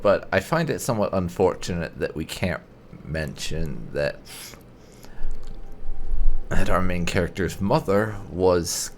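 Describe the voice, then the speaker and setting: A man's voice: low voice, vocal fry, slight background noise. A man hosting a roleplay game playthrough, speaking casually as he voices dialogue choices and adds conversational asides. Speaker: gaming host.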